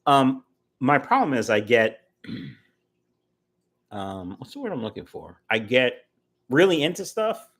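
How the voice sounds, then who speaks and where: A man's voice: mid-range voice, very animated, slow pace, long pauses, a bit bright. A man in his early fifties, casually chatting on a livestream, answering viewer comments in a rambling, talkative way. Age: 50s. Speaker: fishkeeping livestream host reading chat. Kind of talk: casual live chat.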